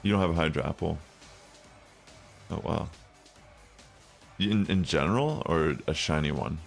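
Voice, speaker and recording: Streamer: young man, bassy low voice, rambling casual talk, gravelly edge, faint music under him. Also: a little slow. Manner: rambling, many fillers. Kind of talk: casual chat while gaming.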